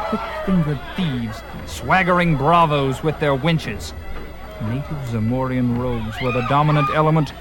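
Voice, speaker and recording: Male narrator, medium pitch, for dramatized fantasy tale: vivid, theatrical scripted read with prominent music underneath.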